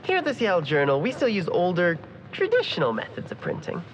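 A woman's voice: lowish voice, wildly swooping pitch, fast talker. A woman playing an efficient, polite tour guide in an audio drama, with a presentational manner. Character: chirpy, efficient female printing-plant tour guide. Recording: dull sound, faint noise.

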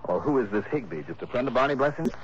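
An actor playing an easygoing, shrewd insurance investigator in an early 1960s radio drama, with a probing, wry, conversational manner. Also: male voice, medium pitch, animated, rapid-fire, close to an everyday voice. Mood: curious, sympathetic. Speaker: lead actor and narrator. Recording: muffled, noisy old radio broadcast.

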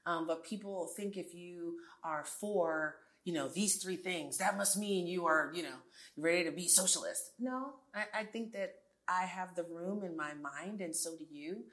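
Woman in her forties, low voice, earnest, emphatic, interview guest. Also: a little slow, a bit bright. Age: 40s. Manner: earnest and emphatic, with frequent restarts and asides. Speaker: guest, tech entrepreneur. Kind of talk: conversational interview.

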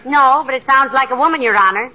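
An actress playing a sensible, wry middle-aged wife in a 1940s radio comedy, folksy and polite. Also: female voice, high voice, fast, over a noisy crowd, close to an everyday voice. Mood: gently teasing. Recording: dull 1940s radio recording.

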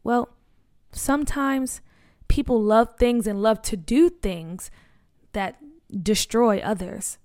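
A woman's voice: fairly high pitch, a little mellow, no echo, studio quality. A woman as a firm, loving mother. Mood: gentle. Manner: slow, firm, instructive.

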